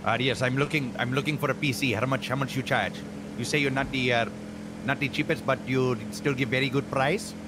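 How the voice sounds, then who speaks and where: A man's voice: mid-range voice, fairly even intonation, a little fast, natural voice. A man voicing an overly polite customer with a put-on Indian accent, calling everyone sir, over noisy stream audio. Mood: polite, eager. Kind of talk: roleplay phone call in a game.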